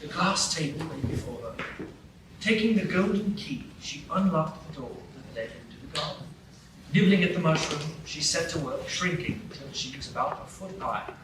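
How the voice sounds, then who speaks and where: Male narrator, measured storytelling; distant mic, echoey with audible hiss. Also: fairly high pitch, lively intonation. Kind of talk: live radio play narration. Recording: echoey stage from far off, noisy.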